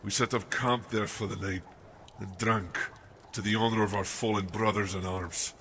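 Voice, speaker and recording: A man playing a haunted, fatherly older army captain in a dramatic radio play, speaking gravely and deliberately over noisy ambience. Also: fairly low pitch, steady tone, fairly bright tone, lightly acted. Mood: haunted, weary. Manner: grave, deliberate storytelling, calls listener "laddie". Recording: noisy amateur audio drama with outdoor ambience.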